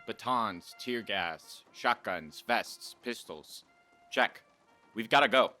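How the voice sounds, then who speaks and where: A brooding policeman in a radio play, played by a man, with a fairly low voice and a very slow, deliberate delivery. He sounds melancholy and lovelorn, with music and rain behind him. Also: lively intonation, sharp tone, exaggerated voice. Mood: terse. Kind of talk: radio drama acting.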